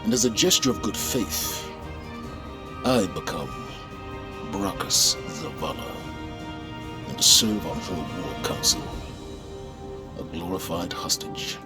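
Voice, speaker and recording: A man playing a principled, burdened military commander in a video game, speaking in a grave, measured, formal way. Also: low-pitched. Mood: grave, solemn. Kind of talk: video game cutscene acting. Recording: orchestral music behind the voice.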